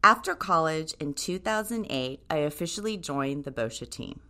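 A woman in her thirties from Japan hosts a podcast in a scripted solo talk. Her voice sits low, but her pitch moves widely, rising and falling with upbeat enthusiasm. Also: lively intonation, normal speed, bright, forceful tone. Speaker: podcast host, brand co-creator.

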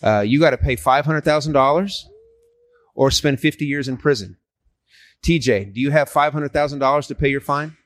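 A man's voice: medium pitch, normal speed. A man in his forties talks earnestly, working hard to persuade and often restarting his sentences. His voice sits in an ordinary middle range. The sound is dry, with no room echo. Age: 40s. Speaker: street evangelist. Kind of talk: casual street conversation.

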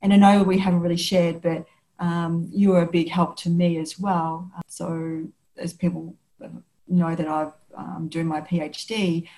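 A woman's voice: fairly low pitch, flat delivery, a little slow, dark tone, rough recording. An Australian woman hosting a podcast, talking in a thoughtful, casual way and often restarting her sentences. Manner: thoughtful, restarts sentences, frequent affirming murmurs. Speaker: podcast host. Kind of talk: casual interview chat.

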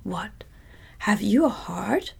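A woman softly whispering the lines of a pompous male scarecrow king in a storybook reading. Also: medium pitch, lively intonation, slow pace, gravelly, husky, exaggerated voice. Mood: lecturing.

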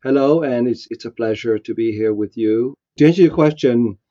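Dark-voiced man in his sixties, from Vietnam. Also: medium pitch, normal speed, no room sound, lo-fi sound. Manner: reflective, with frequent fillers and restarts. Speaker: guest. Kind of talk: casual interview answers.

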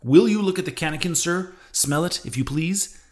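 A man's voice: on the high side, expressive, normal speed, pitched above the performer's own voice, lightly acted. A man voicing an indignant, sarcastic whaling ship's mate in a sea-novel audiobook, emphatic and full of mocking questions.

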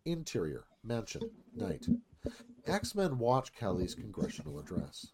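A man's voice: fairly low pitch, big pitch swings, unhurried, crowd noise. A man narrating scene directions in a live screenplay reading.

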